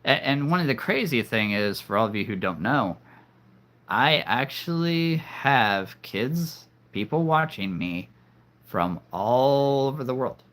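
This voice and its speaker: A man's voice: medium pitch, lively intonation, takes it slowly, fairly bright tone. A man hosting a live stream, rambling casually and making cheesy jokes to his viewers. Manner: rambling, jokey, punning.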